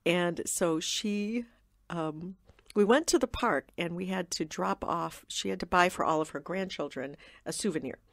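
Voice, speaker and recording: A woman, a podcast guest, telling a warm, laughing family anecdote in casual chat. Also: on the low side, measured pace, no echo, studio quality. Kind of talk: casual storytelling.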